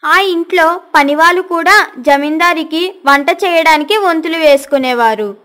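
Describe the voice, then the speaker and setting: A woman's voice: very high voice, normal speed, a bit bright. A woman narrating a scripted moral story for children.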